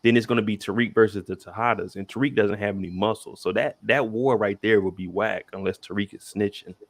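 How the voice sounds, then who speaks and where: A man hosting a casual chat about a TV episode, relaxed and slangy, asking follow-up questions. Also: on the low side, a little fast, no room sound. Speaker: host.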